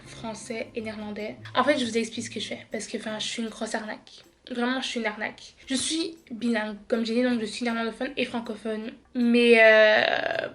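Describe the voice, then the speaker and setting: A woman's voice: fairly high pitch, a bit bright. A young woman from Belgium vlogging casually at home, tired and chatty. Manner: chatty, tired, says genre a lot. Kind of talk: casual vlog chat.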